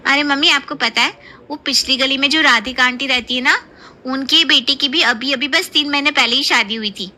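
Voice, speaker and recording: A woman's voice: high-pitched, normal speed, bright, forceful tone. A woman playing a gossipy, mischievous young daughter in a cartoon story, chatty, insistent and needling. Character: gossipy, mischievous young daughter and sister-in-law, blurting everything. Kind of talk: Hindi cartoon story voice acting.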